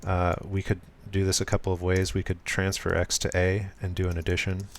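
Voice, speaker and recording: Soft-spoken low-voiced man explaining code. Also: monotone. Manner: soft, deliberately smooth and low-key, with frequent ums and self-corrections. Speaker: programmer streaming a live coding session. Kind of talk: casual live-coding walkthrough.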